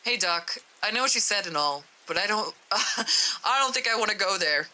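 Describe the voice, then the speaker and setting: Girl doing a reluctant nervous guy in a horror story, hesitant, casual, bright sounding. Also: medium pitch, expressive, normal speed, poor audio. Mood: uneasy, reluctant. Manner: hesitant, informal. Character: reluctant, uneasy man sent down a dark stairwell.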